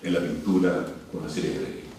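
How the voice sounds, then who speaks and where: An older man with a Chilean accent gives a formal speech in a deliberate, emphatic way, pausing between phrases. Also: rumbling low, fairly even intonation, warm tone. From Santiago, Chile. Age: 60s or older. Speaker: university leader, speaker at a cultural forum. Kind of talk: formal prepared speech with asides. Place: indoor cultural venue, picked up from afar. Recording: very echoey hall, distant mic, faint noise.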